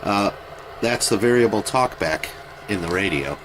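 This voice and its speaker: A man giving a casual, plain-spoken product demonstration as a private seller, in an unhurried, informal way. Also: lowish voice. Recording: noisy home video, steady background noise.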